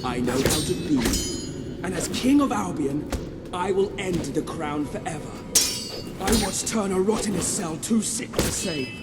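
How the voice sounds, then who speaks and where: A man's voice: high-pitched, expressive, measured pace. An actor playing a megalomaniac, vengeful usurper king in a video game, with a theatrical, accusing delivery. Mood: cold, grandiose, then bitter. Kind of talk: dramatic video game villain monologue. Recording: loud music under the voice. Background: loud game score.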